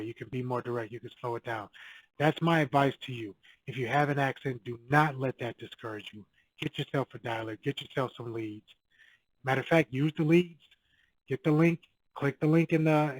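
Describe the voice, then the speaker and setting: A man's voice: medium pitch, rough recording. A man records a casual advice video, speaking in a mid-range voice. He coaches in a direct, no-nonsense way and comes back to the same points again and again. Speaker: real estate agent giving cold calling advice.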